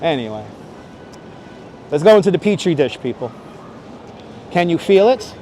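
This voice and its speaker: A male livestreamer chats casually with his viewers while out on city streets. His voice sits high and moves up and down with lots of animation. He is playful and exclamatory, with light urban noise behind him. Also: big pitch swings, normal speed, warm tone. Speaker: livestream host. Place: outdoors on busy Manhattan streets. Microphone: wireless clip-on microphone.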